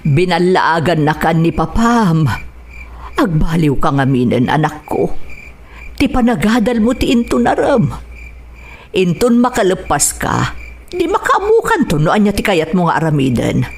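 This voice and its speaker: A woman's voice: fairly low pitch, big pitch swings, unhurried, exaggerated voice. A woman with an Ilocano accent playing a worried, pleading middle-aged mother in a radio drama. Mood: gently chiding.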